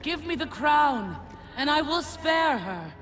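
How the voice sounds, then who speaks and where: An actress playing a formal, imperious elder goddess in a video game cutscene. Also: female voice, sits high, big pitch swings, takes it slowly, fairly bright tone, soft background music, exaggerated voice. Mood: cold threat. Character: serene, commanding female elder goddess, coldly menacing.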